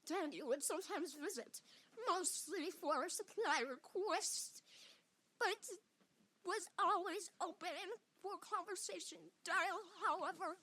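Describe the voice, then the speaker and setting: Young female amateur narrator for a comic dub; slow, deliberate pace, bright tone. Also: extremely high pitch. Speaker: amateur voice-over reader.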